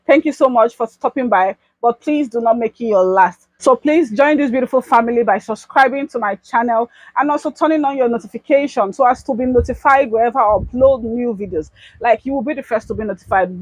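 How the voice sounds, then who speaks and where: A woman's voice: on the high side, dry recording, rough recording. A young Nigerian woman talking casually to her viewers in an advice vlog. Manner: chatty, addresses viewers as bestie. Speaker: YouTube vlogger.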